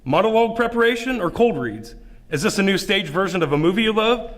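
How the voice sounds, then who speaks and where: A man's voice: sits high, expressive, fast talker. A man with a Midwestern American accent co-hosting a theater show, reading scripted lines with dramatic storytelling emphasis. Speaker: co-host, acting coach.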